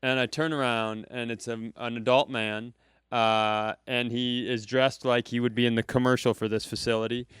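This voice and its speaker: An American man co-hosting a comedy podcast, telling anecdotes casually in an animated, exasperated, sweary way. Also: mid-range voice, normal speed, clean tone, dry recording, clean studio sound. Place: live at a comedy club.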